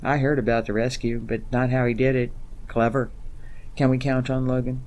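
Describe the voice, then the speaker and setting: A man's voice: mid-range voice, flat delivery, moderate pace, a little mellow, lightly acted, poor audio. A man playing a thoughtful, seasoned ambassador in a science fiction audio drama, with a composed, considered and candid delivery. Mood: probing.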